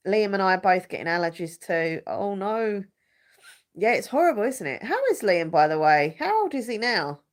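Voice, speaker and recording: A woman's voice: medium pitch, very animated, moderate pace. A young woman with a blocked-up nose, chatting casually and rambling on a live stream. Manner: chatty and rambling, searches for words, many fillers. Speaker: YouTuber hosting a live chat.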